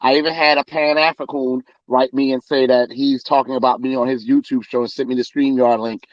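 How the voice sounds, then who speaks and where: A man's voice: mid-range voice, flat delivery, fairly quick, no room sound, highs cut off. A man, hosting a casual livestream and talking sarcastically to his listeners. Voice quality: loud voice. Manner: sarcastic, talks directly to listeners. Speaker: political podcast host.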